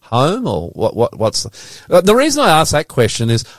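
A man's voice: medium pitch, wildly swooping pitch, normal speed, no echo, clean studio sound. A man from Australia with an Australian accent, hosting a casual interview in a curious, colloquial, easily amused way. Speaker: podcast host.

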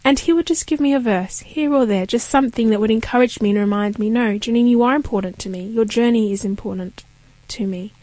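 A woman's voice: fairly high pitch, brisk, dark tone, no room sound, rough recording. A young woman from Kenya sharing her faith story as an interview guest, earnest and reflective.

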